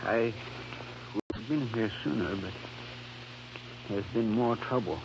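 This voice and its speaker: Weary ailing farmer on old radio. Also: male voice, lowish voice, measured pace. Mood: weary, troubled. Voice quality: weak, labored delivery. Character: ailing, weary male farmer, earnest and fearful. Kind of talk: old-time radio drama acting. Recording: dull vintage radio recording, faint hum.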